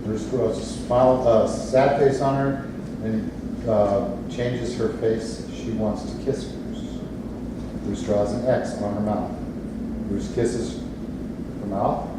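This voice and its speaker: A man's voice: on the low side, fairly even intonation, slow pace. A man reading stage directions at a play reading in a plain narrating style. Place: indoor theatre space, distant microphone. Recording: echoey, noisy room from far off.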